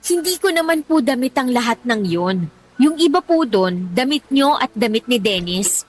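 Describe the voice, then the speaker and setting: A woman's voice: a little high, expressive, moderate pace, a little hiss or hum, lightly acted. A woman playing a defiant, lazy young Filipino girlfriend in a radio drama, arguing back in a whiny manner. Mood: defiant, irritated. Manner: whiny, argumentative.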